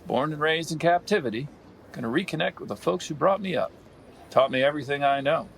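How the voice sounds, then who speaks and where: A man's voice: on the high side, fairly quick, vocal fry, poor audio. A man playing a laid-back, cosmic drifter in a comedic audio drama, speaking in a folksy, poetic, cagey way. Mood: wistful, cagey. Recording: faint background vehicle noise.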